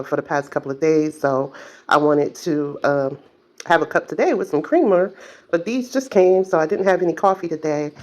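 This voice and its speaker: An older woman vlogging casually at home, chatty, with many fillers and self-corrections. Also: fairly low pitch. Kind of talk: casual chat to camera.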